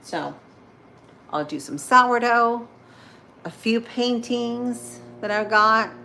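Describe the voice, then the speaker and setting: A woman's voice: on the high side, animated, slow, pushed and bright, slight background noise. A woman in her thirties with a Spanish-influenced accent, hosting a casual morning livestream and chatting with viewers while she cooks. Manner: chatty, rambling, answers viewer comments as she goes. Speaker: livestream host.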